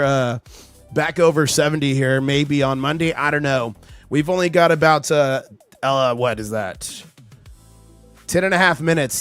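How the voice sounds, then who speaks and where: Male livestream host, chatty and excitable, voice just back after being lost, faint background music. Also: on the high side. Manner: chatty, reads chat aloud, excitable. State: recovering from lost voice. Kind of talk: casual live market commentary.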